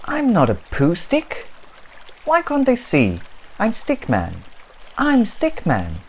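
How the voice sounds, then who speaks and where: A woman's voice: mid-range voice, wildly swooping pitch, slow pace, husky, voice pushed up, full character voice. A woman voicing an indignant little stick man in a children's storybook, protesting plaintively and emphatically. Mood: indignant, pleading.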